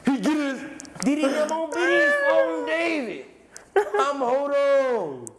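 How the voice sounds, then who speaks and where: A man telling a jail story in a played clip, loud and chanting, with shouted bursts. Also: extremely high pitch, very animated, slow, very noisy. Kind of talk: animated jail story with voices.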